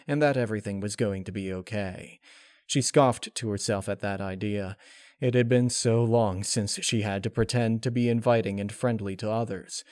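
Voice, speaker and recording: A man's voice: on the low side, darkish tone, dry recording, clean studio sound. A man reading a piece of fan fiction aloud as a scripted narration. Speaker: storyteller.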